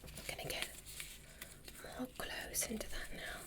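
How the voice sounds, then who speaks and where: Whispering woman in gentle ASMR roleplay. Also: a little slow. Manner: soft, gentle, reassuring, instructing step by step. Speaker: ASMR performer playing an alien medical examiner.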